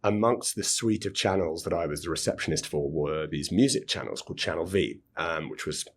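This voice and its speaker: A man in his thirties, a podcast guest, chatting in a relaxed, rambling way with a hoarse, scratchy voice. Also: low-pitched, a little fast. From United Kingdom. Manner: chatty, rambling, repeats words. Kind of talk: casual interview talk.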